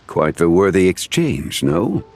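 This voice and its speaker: Casting: composed connoisseur of antiques, low register, eloquent and formal, expressive melody, video game role. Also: male voice, measured pace, soft background music. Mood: gracious, assured. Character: composed, courtly, scholarly gentleman connoisseur of antiques. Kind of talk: scripted video game dialogue.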